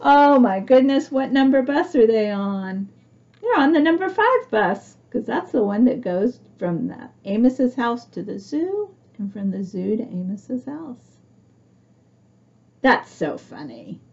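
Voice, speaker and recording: A woman reads a storybook to small children at an unhurried pace. Her pitch swings in an animated way, with some creak in the voice, and she chats to her young listeners as she goes. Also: highs cut off. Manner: expressive, asks young listeners questions. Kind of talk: read-aloud picture book with chatty asides.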